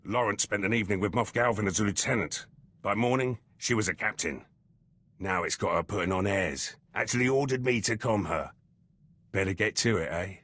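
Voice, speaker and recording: An actor playing a cynical, gruff military lieutenant in a video game, talking in terse, clipped phrases. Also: male voice, on the low side, a little fast, fairly bright tone. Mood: cynical, pressing. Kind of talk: video game character dialogue.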